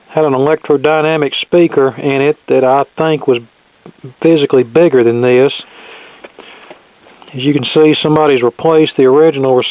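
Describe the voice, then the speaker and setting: A man's voice: medium pitch, clear, resonant tone, no echo. Man with thin, phone-like sound, thinking aloud. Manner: plain-spoken, thinking aloud as he inspects. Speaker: vintage radio repair hobbyist presenter. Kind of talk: casual unscripted demonstration commentary.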